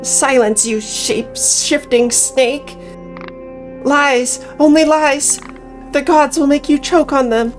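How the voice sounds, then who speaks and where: A woman's voice: high voice, fairly even intonation, slow pace, voice pushed up. A woman voicing a terrified, furious young village woman in a visual novel, crying out with desperate defiance. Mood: furious accusation. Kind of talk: visual novel character acting. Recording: music behind voice.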